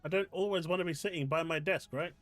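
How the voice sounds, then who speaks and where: English male streamer with a high voice, incredulous and ranting at viewers, faint music playing under him. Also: fast, no echo. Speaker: streamer host reading live chat. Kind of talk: casual live-stream chat. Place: home desk setup.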